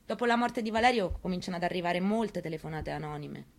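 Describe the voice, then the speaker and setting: A woman performing a dramatic reading of a memoir on the radio, telling it in the first person in a quiet, measured way. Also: on the low side, lively intonation, creaky. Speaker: reader. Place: radio studio.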